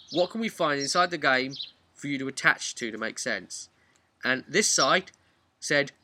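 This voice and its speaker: A man's voice: mid-range voice, noisy background. A man hosting a tabletop gaming series, talking casually and emphatically to viewers as he explains things. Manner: conversational, emphatic, acts out imagined players' remarks. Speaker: host of a tabletop role-playing video series. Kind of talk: casual explanatory vlog talk addressed to viewers.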